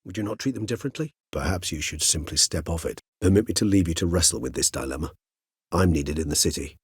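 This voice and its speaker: A man's voice: low voice, fast talker, husky, no echo, clean studio sound, heavily acted. A male actor playing a courteous, erudite and melancholy ancient vampire in a video game, speaking formally and eloquently with a wry, philosophical turn. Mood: stern. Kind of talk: video game character dialogue.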